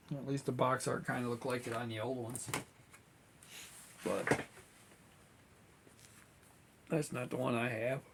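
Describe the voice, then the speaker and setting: A man, hosting his model-building channel in a relaxed, rambling way, slightly hoarse as he is losing his voice. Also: mid-range voice, slight background noise. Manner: relaxed, rambling, many fillers. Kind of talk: casual chat to viewers.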